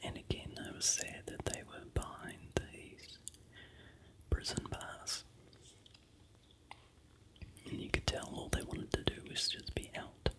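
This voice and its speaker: A vlogger from New Zealand with a New Zealand accent, softly narrating a travel slideshow in a steady, unhurried whisper. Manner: soft, unhurried whisper throughout.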